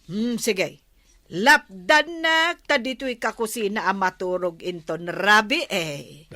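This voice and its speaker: A woman's voice: medium pitch, lively intonation, slow pace, bright, forceful tone, dry recording. A woman with an Ilocano Filipino accent playing a bossy, vain village captain's wife in a radio comedy drama. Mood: stern, demanding.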